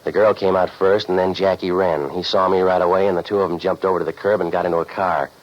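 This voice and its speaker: An American actor playing a sardonic, hard-boiled private eye who narrates his case deadpan in 1940s radio noir style. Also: sits low, fast talker, close to an everyday voice. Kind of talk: 1940s radio noir acting, scripted first-person narration. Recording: muffled, noisy 1940s radio recording.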